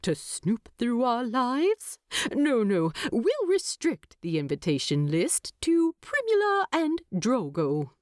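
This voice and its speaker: A fussy, proud male hobbit homebody from a fantasy audiobook, acted out by a woman. The voice sits fairly high and swoops about with indignation. He is wordy and teasing, in a close, dry recording. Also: wildly swooping pitch, takes it slowly, darkish tone, dry recording, exaggerated voice. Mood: dismissive. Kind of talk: audiobook character dialogue.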